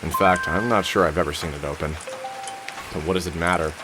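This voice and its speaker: Actor as wry, low-voiced middle-aged game hero. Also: male voice, steady tone, a little fast, close to an everyday voice. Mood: sardonic. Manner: sardonic, muttering to himself. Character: wry, world-weary middle-aged man with cybernetic arm. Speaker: video game protagonist. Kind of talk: scripted game character monologue, thinking aloud. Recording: music under the voice. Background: music.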